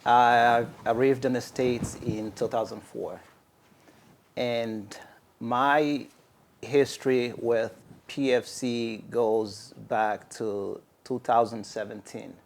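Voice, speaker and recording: Measured man from Somalia. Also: medium pitch, fairly even intonation, vocal fry. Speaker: farmer and community organizer. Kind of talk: speaking at a live event.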